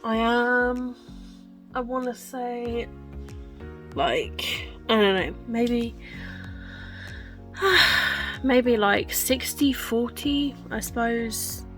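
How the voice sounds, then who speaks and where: A woman's voice: fairly high pitch, extremely slow, long pauses, fairly bright tone, soft background music. A young woman chatting casually in a vlog, rambling with lots of ums and likes. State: wheezy, recovering from illness. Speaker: book vlogger.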